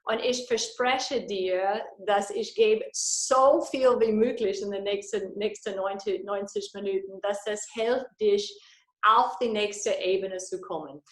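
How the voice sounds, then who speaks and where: A woman's voice: medium pitch, fairly even intonation, unhurried, reverberant. A woman from Texas with an American accent, a native English speaker presenting a live business webinar in imperfect German, slipping into English phrases with enthusiasm. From Texas, USA. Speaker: business coach. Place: her home, indoor.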